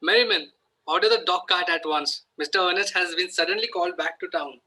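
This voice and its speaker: A man playing an irritable, stern Victorian gentleman guardian in an amateur table read of a stage comedy, scolding in an exasperated way. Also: high-pitched, brisk, heavily acted, rough recording. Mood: indignant, scolding.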